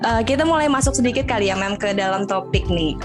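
A woman's voice: mid-range voice, animated, fast, over music. A woman hosting an interview, asking friendly, casual questions and often adding a respectful term of address. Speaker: interviewer, host.

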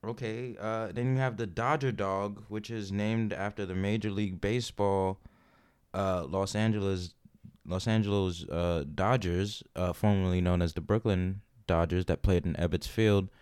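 A man with an American accent and a low, clear voice co-hosts a casual comedy podcast. He reads out information in a halting, conversational way, joking as he goes. His tone is deep and smooth. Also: fairly even intonation, darkish tone. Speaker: podcast co-host.

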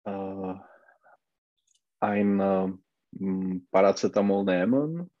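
Slow, hesitant man with a Czech accent. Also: low voice, dry recording, muffled recording. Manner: hesitant, searching for words with many fillers. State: has a cold. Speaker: German learner. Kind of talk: halting online language lesson.

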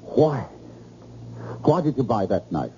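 A man's voice: medium pitch, sing-song, slow pace, dark tone. An actor playing an anxious, jealous, well-to-do stockbroker in an old-time radio drama, agitated and repeating his words insistently. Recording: muffled, noisy old radio recording.

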